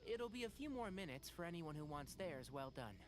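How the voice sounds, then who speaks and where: Considerate, animated young fellow, lightly high-voiced. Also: male voice, fairly quick. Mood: helpful. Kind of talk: anime video game voice acting. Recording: loud game music behind.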